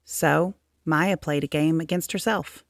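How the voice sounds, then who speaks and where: A woman narrates a children's picture book in a low voice. She takes her time, with measured, expressive phrasing, and the sound is close and dry. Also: no room sound. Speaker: storybook reader. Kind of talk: children's read-aloud narration.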